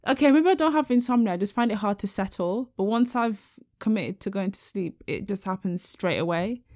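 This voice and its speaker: A young London woman with a slightly nasal voice, talking frankly and joking on a podcast. She speaks in a relaxed, chatty way. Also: mid-range voice, fairly quick. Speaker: podcast co-host. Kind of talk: casual chat.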